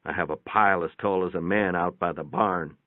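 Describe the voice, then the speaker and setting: A man's voice: low voice, fairly even intonation, a little fast. A man reading a first-person short story aloud in a folksy, plainspoken storytelling manner. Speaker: narrator. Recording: boxy room echo, tinny phone-like sound.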